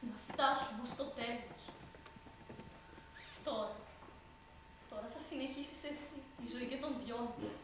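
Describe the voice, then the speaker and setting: An actress playing a bitter young maid full of hatred and grand dreams, in a theatrical stage delivery. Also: female voice, high-pitched, background noise, natural voice. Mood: grim, hushed. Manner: theatrical stage delivery, swings between mocking laughter and hushed intensity. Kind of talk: stage play performance. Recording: distant echoey stage recording.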